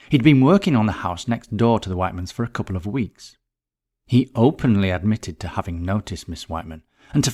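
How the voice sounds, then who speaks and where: Low-pitched male mystery story narrator. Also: a little mellow. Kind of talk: scripted narration of a murder mystery.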